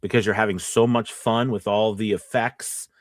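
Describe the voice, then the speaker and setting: A man's voice: on the low side, fairly even intonation, moderate pace, no echo. Man hosting live stream, dry close sound. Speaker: live stream host and voice-over coach. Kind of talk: casual live Q&A chat.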